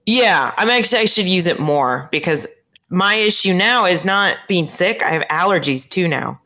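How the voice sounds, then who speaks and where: Bright-voiced woman, halting and laughing. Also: fairly low pitch, animated. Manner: halting, laughs often, restarts. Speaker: radio host, guest. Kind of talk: casual chat.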